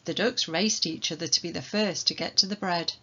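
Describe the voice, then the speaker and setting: A woman reading a children's storybook aloud as its author, in an expressive manner. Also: on the low side, brisk, slightly rough, muffled recording. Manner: expressive, acts out dialogue.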